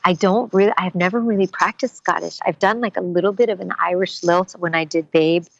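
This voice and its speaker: Woman voice actress, middle-aged, animated chat, dry close sound, muffled lo-fi. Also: on the low side, brisk, vocal fry, dry recording. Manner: animated, slips into character voices. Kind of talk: casual interview talk.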